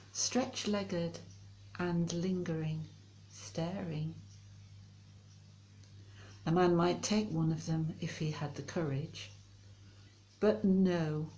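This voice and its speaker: A woman reciting poetry in a slow, measured delivery as a reader. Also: on the low side, mellow, rough recording. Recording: faint background noise and ambience.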